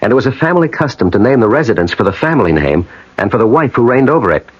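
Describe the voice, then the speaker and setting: A man's voice: mid-range voice, big pitch swings, very fast, slightly rough. An actor in a 1980 radio drama playing a restless, brooding advertising man, earnest and dramatic in delivery. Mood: intense, brooding. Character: restless, brooding advertising man hunting his family's past. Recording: dull old radio broadcast recording.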